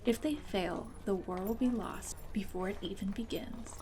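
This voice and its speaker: A woman doing a soft-spoken ASMR roleplay as a host, breathy and partly whispered, with a gentle, friendly manner. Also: medium pitch, moderate pace, background noise.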